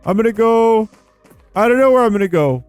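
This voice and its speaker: A man's voice: high-pitched, animated, fairly quick, a little mellow, smooth, no room sound. A man hosting a gaming video with casual, rambling commentary, playful and full of informal slang. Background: background music.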